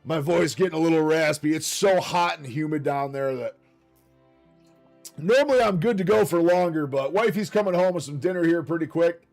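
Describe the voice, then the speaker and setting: A man hosting a casual livestream, reading notes aloud with chatty asides, his voice slightly raspy from a long stream. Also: on the high side, moderate pace, soft background music. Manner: chatty and upbeat, quiet asides while reading. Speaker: livestream host.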